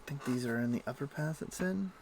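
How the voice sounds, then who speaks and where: Gravelly-voiced man streaming a game. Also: medium pitch, a little fast. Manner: relaxed, thinking aloud. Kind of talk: casual chat while playing. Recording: noisy home stream audio.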